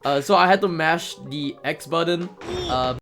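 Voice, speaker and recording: A man's voice: a little high, normal speed. A man giving casual live commentary while playing a video game, moving between plain explanation and playful mock-dramatic exclamation. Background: video game music.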